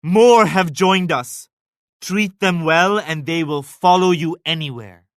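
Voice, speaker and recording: An actor playing a devout, proud Indian emperor in a strategy game, speaking in a formal, measured, pious declamatory manner. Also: male voice, on the high side, dry recording, studio quality. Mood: pious, benevolent. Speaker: video game leader character. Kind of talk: scripted strategy game voice lines.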